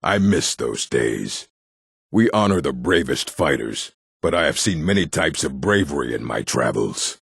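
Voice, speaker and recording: An actor playing a weary, humble old orc in a video game, with a gravelly, husky voice and a measured, resigned way of talking. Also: male voice, rumbling low, sing-song, a bit bright, no echo, studio quality, exaggerated voice. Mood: weary, wistful. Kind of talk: video game character voice acting.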